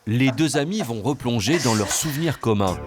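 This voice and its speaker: A man narrates a TV documentary from a prepared script, in a mid-pitched voice. Music plays clearly behind him. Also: expressive, moderate pace.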